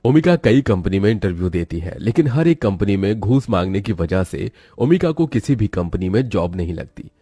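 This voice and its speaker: Low, slightly raspy male story narrator. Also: big pitch swings, moderate pace, hardly any pauses, warm tone, no room sound, studio quality. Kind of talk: scripted moral story narration.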